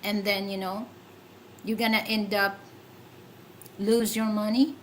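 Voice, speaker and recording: A woman with a Filipino accent hosts a casual livestream at an unhurried pace. She chats with many fillers and giggles often. Faint background noise runs under her voice. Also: medium pitch, level tone, fairly bright tone. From Philippines. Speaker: livestream host.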